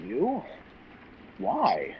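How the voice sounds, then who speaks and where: A man acting a gallant, puzzled gentleman in a radio play, courteous and questioning as he takes charge. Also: high voice, huge pitch swings, extremely slow, gravelly, dull audio, exaggerated voice. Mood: curious, bemused. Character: gallant, puzzled gentleman helping a frightened stranger. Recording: video call with faint background noise.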